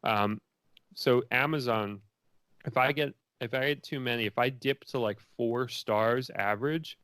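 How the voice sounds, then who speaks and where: A man's voice: mid-range voice, unhurried, fairly bright tone, no echo. A man answering interview questions as a filmmaker in a rambling, informal way full of filler phrases. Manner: rambling and informal, many likes and you knows.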